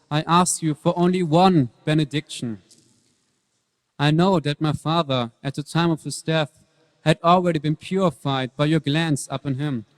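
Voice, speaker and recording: A fairly high voice rings in a reverberant hall as a humble young prince played by a man prays. He is earnest, pious and pleading, in the style of sacred drama. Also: lightly acted. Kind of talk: dramatic scripture reading.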